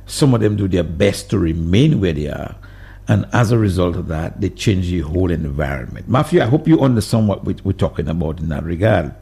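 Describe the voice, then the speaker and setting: A man hosting a call-in radio show, putting questions to his guest in a measured way and taking calls from listeners. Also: sits low, lively intonation, gravelly, mellow, breathy edge. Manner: measured, questioning, steers the discussion. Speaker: radio talk show host.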